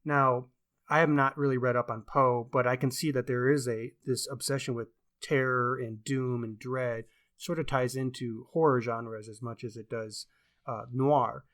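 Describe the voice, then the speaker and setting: A man's voice: medium pitch, level tone, normal speed, a bit bright. A man co-hosting a casual book discussion, speaking in an opinionated, emphatic way and repeating words for stress. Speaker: podcast co-host and book reviewer.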